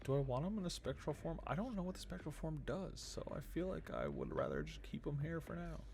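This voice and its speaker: A man streaming a game, giving casual live commentary as he thinks aloud in short, low-key, musing fragments. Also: very animated, quick pace, gravelly, noisy background.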